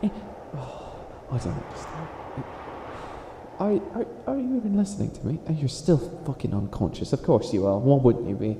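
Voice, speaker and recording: A man's voice: medium pitch, animated, moderate pace, dark tone, roomy sound, a little hiss or hum, close to an everyday voice. A man playing a theatrical, exasperated wicked witch who fails at being evil, stammering through a comedic roleplay monologue. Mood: exasperated, whiny. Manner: exasperated, stammering, melodramatic villain rambling.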